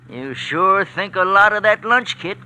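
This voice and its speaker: An actor playing a fretful, folksy older working man in a 1940s radio drama, grumbling in a drawn-out way. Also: high voice, animated, pushed and bright, smooth, exaggerated voice. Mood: grumbling, mild. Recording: noisy 1940s radio recording.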